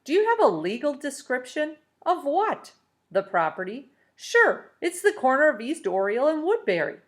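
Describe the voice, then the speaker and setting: A woman's voice: high voice, very animated, moderate pace, voice pushed up. A woman voicing a determined, politely stubborn schoolboy in a storybook, earnest and bold.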